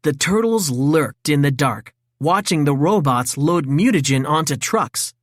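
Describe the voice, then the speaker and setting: A woman reading a children's story in an expressive, dramatic read-aloud style. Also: low voice, a little slow, no room sound, clean studio sound. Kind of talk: scripted storybook narration for children.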